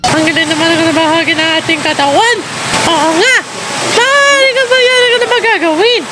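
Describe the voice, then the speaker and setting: A woman's voice: very high-pitched, normal speed, heavy background noise. A woman voicing a cheerful, friendly young character in a children's show, exclaiming and addressing young viewers. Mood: cheerful, encouraging. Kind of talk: scripted children's show voice-over.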